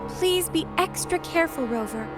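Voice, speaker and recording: Very high-pitched devout girl. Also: expressive, moderate pace, loud music behind the voice. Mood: earnest concern. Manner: earnest, polite. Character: devout, caring young girl, soft-hearted. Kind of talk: video game voice acting.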